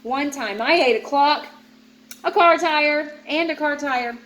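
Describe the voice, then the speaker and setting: A woman's voice: high-pitched, measured pace, fairly bright tone, rough recording. A woman voicing a cocky, garbage-gobbling tiger shark in a children's storybook, bragging matter-of-factly. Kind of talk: children's picture book read-aloud.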